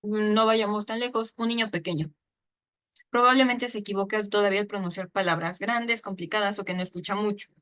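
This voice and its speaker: A woman's voice: mid-range voice, fairly even intonation, fairly quick, a bit bright. A woman giving an informal lecture on storytelling, chatty and full of asides and fillers. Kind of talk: informal online talk on storytelling.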